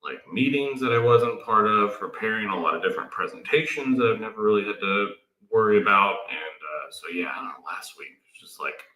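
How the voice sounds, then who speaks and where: Lively, bright-voiced man in his thirties. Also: on the low side, brisk. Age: 30s. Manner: laid-back, dry teasing humor. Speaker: livestream co-host. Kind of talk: casual chat on a homestead livestream. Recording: roomy echo.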